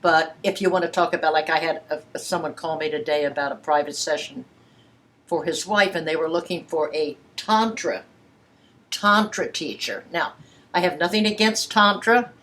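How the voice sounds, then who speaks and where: A woman's voice: low-pitched, normal speed, a bit bright. A woman in her eighties talking casually and frankly, with a blunt, playful, emphatic way of putting things. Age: 80s. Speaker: sex educator giving private sessions.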